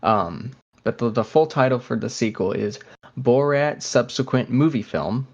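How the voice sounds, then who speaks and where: A man's voice: mid-range voice, a little slow, a little mellow, dull audio. A man co-hosting a podcast in casual chat, talking in a hesitant, rambling way. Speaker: podcast co-host.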